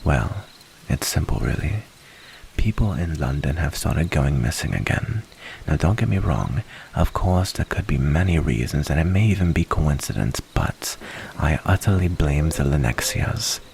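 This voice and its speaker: Man acting a gravelly, very deep wary werewolf. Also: expressive, moderate pace, a little mellow, a little hiss or hum, heavily acted. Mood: confiding, ominous. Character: male werewolf from the woods, wary, earnest, protective. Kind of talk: ASMR roleplay acting.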